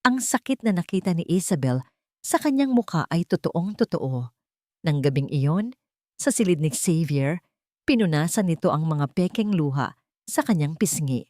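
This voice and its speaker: Woman reading a love story, deep-ish voice that moves around a lot, dramatic and sentimental. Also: animated, normal speed, dark tone, no room sound, studio quality. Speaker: storyteller narrator. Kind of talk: scripted dramatic narration of a romance story.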